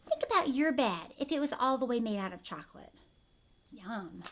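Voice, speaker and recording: A woman reading a children's story aloud expressively, pausing to explain things simply to young listeners like a teacher. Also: fairly high pitch, animated, a little hiss or hum.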